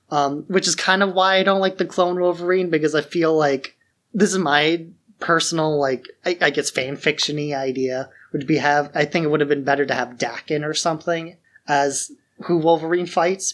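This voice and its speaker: Higher-voiced man, reflective podcast co-host. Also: a bit bright. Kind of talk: casual film discussion.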